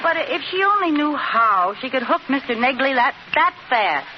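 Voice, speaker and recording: Animated, scheming radio sitcom housewife. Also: female voice, high-pitched, moderate pace, over a noisy crowd. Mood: reluctant, playful. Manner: animated, theatrical, coy. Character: scheming, impulsive, excitable housewife, meddling but well-meaning. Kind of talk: 1950s radio sitcom acting. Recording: muffled, noisy old radio recording, audience.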